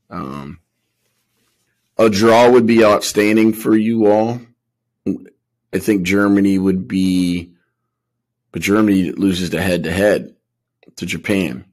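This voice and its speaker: Man, deep voice, podcast co-host, casual slangy sports analysis, questioning, thinks aloud. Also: a little mellow.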